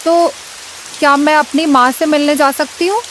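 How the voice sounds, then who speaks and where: A woman's voice: high voice, steady tone, normal speed, slight background noise, close to an everyday voice, low-quality recording. A woman playing an earnest, hesitant young village bride in a cartoon story. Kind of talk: dramatised story dialogue.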